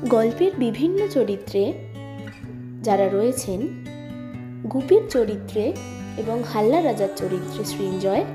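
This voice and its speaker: A woman narrating a scripted story expressively as the storyteller. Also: fairly high pitch. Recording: loud music behind the narration.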